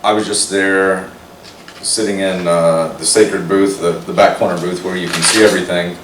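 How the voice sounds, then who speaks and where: A man with a very low, raspy voice, hoarse from singing, talks in a relaxed and rambling way. He is picked up from a distance in a roomy space with a faint hiss of noise behind him. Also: moderate pace. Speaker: guest, singer and poet.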